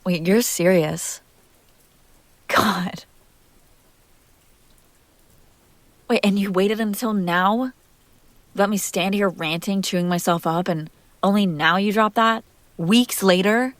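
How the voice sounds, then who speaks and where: A woman's voice: medium pitch, no echo, natural voice. Woman acting jealous, wounded young woman, dry close sound. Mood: incredulous, indignant. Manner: sighing, halting, sarcastic, emotionally raw. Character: jealous, hurt young woman confronting her longtime crush. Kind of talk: intimate audio roleplay acting.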